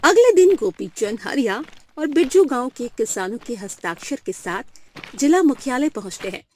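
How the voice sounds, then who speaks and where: A woman's voice: high-pitched, expressive, normal speed, mellow. A woman narrating a scripted moral story for a cartoon. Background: music.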